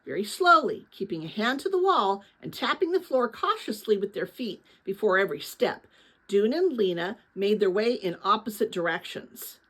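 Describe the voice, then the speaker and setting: A woman narrating a children's novel as a dramatic read-aloud, with an expressive delivery aimed at young listeners. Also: medium pitch. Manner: expressive, pauses to address young listeners with questions.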